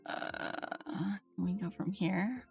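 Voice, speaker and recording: A woman streams a video game in a casual voice at a middling pitch, thinking aloud and reading lines off as she goes. Game music plays along behind her. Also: takes it slowly, gravelly, darkish tone. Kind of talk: casual livestream gameplay chat, reading dialogue aloud.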